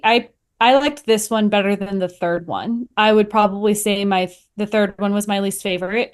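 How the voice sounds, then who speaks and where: Female podcast panelist, medium pitch, casual explanatory review chat.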